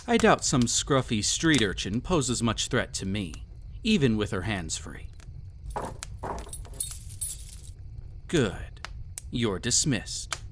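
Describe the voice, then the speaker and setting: Villain emperor, played by a man: arrogant, possessive, theatrical, highly animated mid-range voice, some background noise, audio roleplay. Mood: cool disdain. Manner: haughty, formal, mocking, deliberate theatrical phrasing. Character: cruel, arrogant, possessive male emperor, devious and condescending. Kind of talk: scripted audio roleplay, villain monologue addressed to listener.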